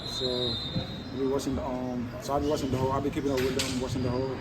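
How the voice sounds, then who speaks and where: Hesitant teen recruit in a noisy gym. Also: male voice, medium pitch, flat delivery. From Senegal. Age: teens. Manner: halting, many fillers like um and you know. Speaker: basketball recruit. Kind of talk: casual interview answers.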